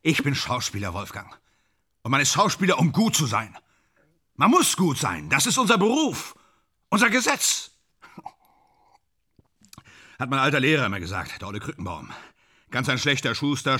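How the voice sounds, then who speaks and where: A man's voice: medium pitch, bright, forceful tone, heavily acted. A man in a radio play acting a vain, tormented stage actor, bitter and self-dramatising, with a theatrical, declamatory manner that swings between muttering and outbursts.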